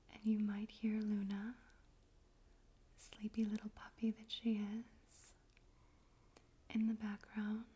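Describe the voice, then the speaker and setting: Breathy, whispering woman, slow ASMR delivery. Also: mid-range voice, warm tone. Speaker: ASMR host and poetry reader. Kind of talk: ASMR, soft unhurried talk and poetry reading. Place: room in a home in daytime.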